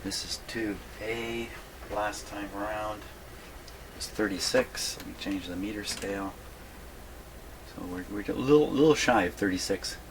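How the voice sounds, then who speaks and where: Low-voiced man thinking aloud, noisy recording. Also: unhurried. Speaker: host of an electronics repair video. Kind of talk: casual hands-on demonstration, thinking aloud. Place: home radio workshop. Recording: clear background noise.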